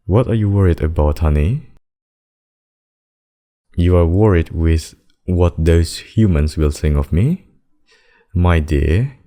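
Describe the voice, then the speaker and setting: A demon king, acted by a man, with an extremely low, mellow voice. He speaks slowly and formally in an intimate ASMR roleplay, doting on a lover with protective tenderness. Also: exaggerated voice. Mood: tender, reassuring. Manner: slow, formal, doting speech with endearments.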